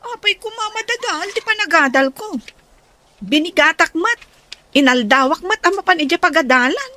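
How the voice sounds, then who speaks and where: Woman playing disdainful young female student, piercingly high bright voice, swooping intonation, Ilocano accent, radio drama. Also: expressive, normal speed, full character voice. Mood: defensive. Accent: Ilocano Filipino.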